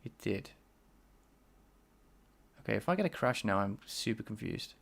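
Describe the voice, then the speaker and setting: Scouse guy streaming game dev, low gravelly voice, talks to chat as he codes, keyboard clacking behind. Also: big pitch swings, normal speed. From England. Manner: thinks aloud, answers chat, enunciates carefully. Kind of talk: live coding stream, chatting with viewers. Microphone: desk microphone.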